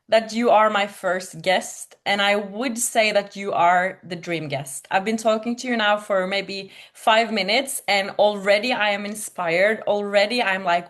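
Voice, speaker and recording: A woman hosting a business chat show, greeting and praising her guest with warm enthusiasm. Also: medium pitch. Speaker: podcast host. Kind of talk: casual interview on a video call.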